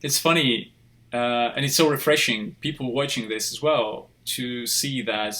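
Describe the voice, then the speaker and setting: A man's voice: mid-range voice, measured pace, pushed and bright. A man hosting a podcast interview, talking in a chatty way with lots of fillers and restarts. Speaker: podcast host.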